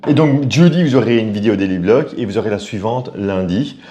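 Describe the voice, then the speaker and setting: Chatty middle-aged man explaining calmly. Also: lowish voice, fairly quick, crowd noise. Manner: relaxed, chatty, explains step by step. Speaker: family vlogger. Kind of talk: casual chat to camera.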